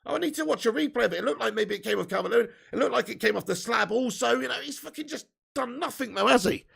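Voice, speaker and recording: A man with a hoarse, fading voice, giving sweary, excitable live fan commentary while reading chat. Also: very high voice, quick pace. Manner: swearing, excitable, shouts at chances. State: losing his voice. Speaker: fan watch-along streamer.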